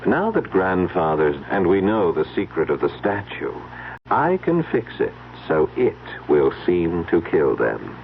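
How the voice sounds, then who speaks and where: An actor playing a wary, cunning grown son, guarded and conspiratorial in an old-time radio mystery. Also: male voice, low voice, wildly swooping pitch, a little slow, vocal fry, heavily acted. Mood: guarded, scheming. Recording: dull, noisy old radio recording.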